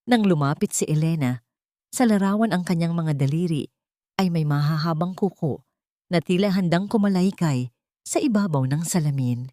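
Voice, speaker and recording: A Filipino woman with a low voice narrates a dramatic story. Her delivery is solemn and deliberate, and the sound is dry with very little room. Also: mellow, no room sound.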